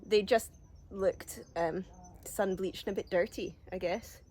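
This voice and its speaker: A woman's voice: medium pitch, steady tone, unhurried, a little mellow, breathy edge. A woman with a cold, a bit sniffly, chatting casually through a walk-through of her allotment garden with lots of ums and little laughs. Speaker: gardening vlogger.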